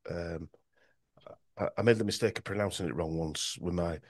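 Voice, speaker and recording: A man's voice: sits low, moderate pace, dry recording, studio quality. A man with a Yorkshire accent talking in a podcast conversation, rambling and restarting his sentences often. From Yorkshire, England. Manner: rambling with frequent restarts and stumbles.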